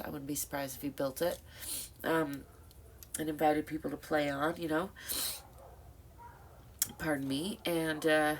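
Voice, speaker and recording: Low-voiced, slow, stuffed-up woman vlogger. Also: slight background noise. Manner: rambling, thoughtful, many fillers and self-corrections. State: stuffed-up nose. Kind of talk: reflective casual monologue.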